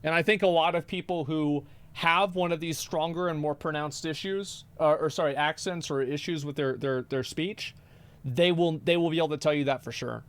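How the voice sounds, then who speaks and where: A man's voice: fairly high pitch, moderate pace, smooth. A man streaming, reacting to videos in rambling, profane casual commentary, often stammering and repeating words.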